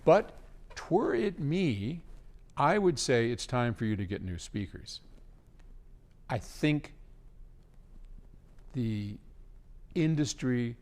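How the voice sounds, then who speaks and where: A man with a mid-range voice hosts a listener question segment. He talks slowly and informally, giving advice in a conversational style. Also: medium pitch, animated, a little mellow. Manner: conversational, informal, with frequent throat clearing. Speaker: host answering listener questions. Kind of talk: casual advice talk.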